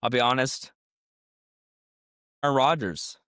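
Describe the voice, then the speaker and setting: A man's voice: mid-range voice, slow pace, bright, forceful tone, clear, resonant tone, no room sound, studio quality. A man co-hosting a sports podcast in casual banter, joking and laughing often, a little under the weather. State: sick, recovering from illness. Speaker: podcast co-host.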